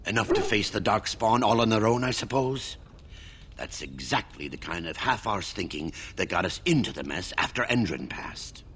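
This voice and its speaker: An actor playing a sour, worried dwarf soldier with a grumbling manner in a fantasy video game. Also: male voice, fairly low pitch, sing-song, normal speed, a bit bright, a little hiss or hum. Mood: disgruntled.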